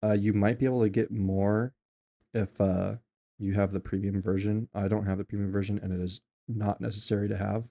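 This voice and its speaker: Low gravelly man with a flat delivery. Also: a little fast. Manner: rambling, offhand, with many ums and self-corrections. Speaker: tutorial maker. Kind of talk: casual screen-recorded software tutorial.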